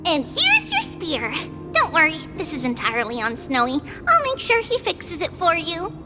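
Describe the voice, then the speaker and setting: A woman's voice: very high-pitched, huge pitch swings, moderate pace, full character voice. A woman voicing a small, childlike, bubbly fairy-like companion in a video game, chirpy and exclamatory, bossy yet friendly. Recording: music behind the voice. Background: game music.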